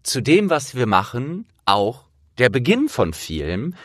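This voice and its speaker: A man in his thirties chatting casually as a podcast interviewer, with a jovial, animated manner. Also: mid-range voice, a little slow, no room sound, clean studio sound.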